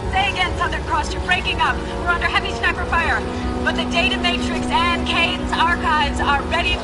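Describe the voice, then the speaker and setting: Shrill urgent soldier on radio. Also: female voice, steady tone, normal speed. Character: urgent female soldier reporting from battle. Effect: broken-up video radio link. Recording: loud music and vehicle din.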